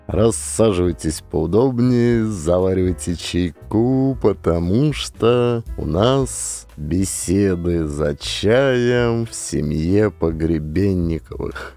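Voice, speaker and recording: A man reads a scripted comic story as its narrator. His voice is very low and dark, and he takes his time, with music playing behind him. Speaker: narrator and actor.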